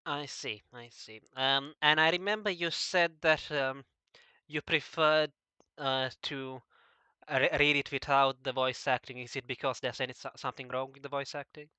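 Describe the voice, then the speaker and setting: A man talking casually as an interviewer, halting and stammering over the starts of his words. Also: mid-range voice, steady tone, bright, forceful tone, clear, resonant tone, no echo. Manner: halting, stammering, frequently repeating word starts. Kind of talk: casual discussion of visual novels.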